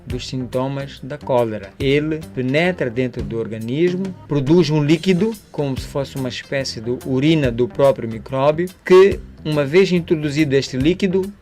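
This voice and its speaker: A man speaking as a doctor, explaining health matters didactically for radio, pausing and checking with tag questions. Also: medium pitch, a little mellow.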